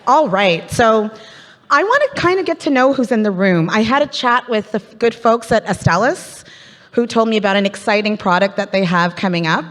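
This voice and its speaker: A woman's voice: mid-range voice, lively intonation, normal speed, fairly bright tone. A Canadian woman hosting a live business event as MC, chatty and playful as she draws the audience in over a stage microphone. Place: large conference hall. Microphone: handheld stage microphone. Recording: reverberant conference hall.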